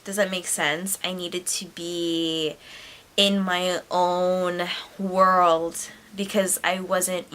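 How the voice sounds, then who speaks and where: A young adult woman talking straight to her camera in a rambling, expressive stream-of-consciousness vlog, with lots of "like". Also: medium pitch. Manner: rambling and expressive, heavy use of "like", sudden laughs. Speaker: YouTuber.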